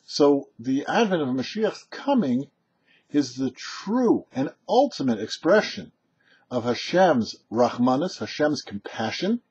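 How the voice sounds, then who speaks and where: Male religious teacher, husky voice, animated intonation, measured explanatory delivery, slightly dull audio. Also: medium pitch. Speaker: rabbi hosting a weekly Torah teaching show. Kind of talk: prepared religious teaching, read aloud.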